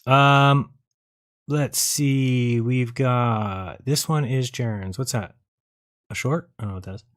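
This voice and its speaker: A man's voice: mid-range voice, slow pace. A man hosting a live online show in a casual, rambling and blunt way, thinking aloud as he goes. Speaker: host of a live online debate and call-in show. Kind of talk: casual, rambling livestream chat, sometimes reading viewer comments.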